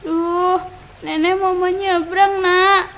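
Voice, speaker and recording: A kindly elderly grandmother toad from a children's tale, voiced by a woman. She sounds fretful and high in pitch, speaking at an unhurried pace. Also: little pitch movement, fairly bright tone, full character voice. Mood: worried. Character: kindly old grandmother toad, anxious to cross.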